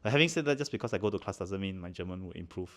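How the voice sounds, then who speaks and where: A man in his thirties with a Malaysian accent, co-hosting a casual chat podcast from a living-room sofa on a handheld mic, relaxed and quick to laugh. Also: sits low. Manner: relaxed, chatty, laughs often, many fillers. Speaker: podcast co-host.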